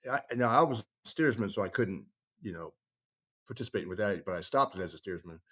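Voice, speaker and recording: A man talking casually as he tells stories and explains things, rambling and halting, often trailing off mid-thought. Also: on the low side, lively intonation, fairly bright tone. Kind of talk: casual conversational storytelling and explaining.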